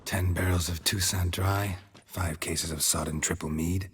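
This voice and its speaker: A man voicing a stoic, world-weary monster hunter in a video game, terse and deadpan with a dryly sardonic streak. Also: sits low, a little slow, husky, full character voice. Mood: focused. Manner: terse, deadpan, clipped sentences. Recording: loud music behind the voice.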